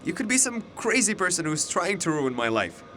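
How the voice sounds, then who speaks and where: Man playing defiant young student, distressed and incredulous, raised swinging pitch, radio drama, faint train noise. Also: very animated, a little fast, sharp tone, heavily acted. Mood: incredulous, anxious. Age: young adult. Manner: agitated, incredulous.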